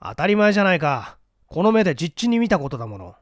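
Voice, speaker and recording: A man's voice: high voice, sing-song, fast talker, dry recording, studio quality. A man voicing a blunt, hot-headed newspaper reporter giving his account curtly in a mystery reading. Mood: indignant. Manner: curt, defensive. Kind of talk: dramatic storybook reading of a mystery.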